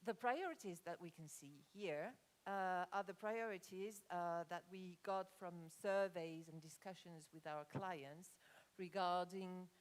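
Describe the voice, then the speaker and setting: A woman with a French accent, a native French speaker, giving a business presentation at a conference in a halting way as she searches for words. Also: fairly low pitch.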